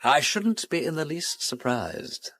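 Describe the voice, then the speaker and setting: A man playing a witty, urbane gentleman detective in a British radio drama, teasing and breezy, with an upper-class English accent. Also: a little high, sing-song, a little slow, no room sound, clean studio sound, exaggerated voice. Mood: light, cool. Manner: teasing, breezy, with drawled asides.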